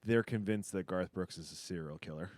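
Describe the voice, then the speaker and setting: A man's voice: deep voice, brisk, darkish tone, dry recording, clean studio sound. A man chatting casually as a co-host on a podcast. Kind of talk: casual chat.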